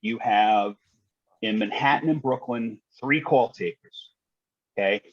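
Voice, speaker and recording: A man's voice: on the low side, unhurried, husky, no room sound, dull audio. A man telling work stories in a conversational podcast style, matter-of-fact, colloquial and salty. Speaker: guest, retired fire dispatcher.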